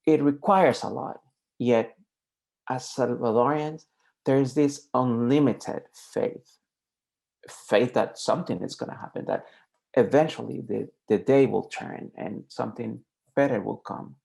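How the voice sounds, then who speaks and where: A man's voice: mid-range voice, a little slow, vocal fry, a little mellow. A man closing his presentation in a reflective, heartfelt way.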